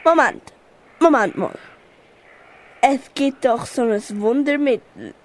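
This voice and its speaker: A woman's voice: high voice, animated, takes it slowly. A teenage girl with a Swiss German accent playing a loyal, helpful elephant in an amateur radio play. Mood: eager.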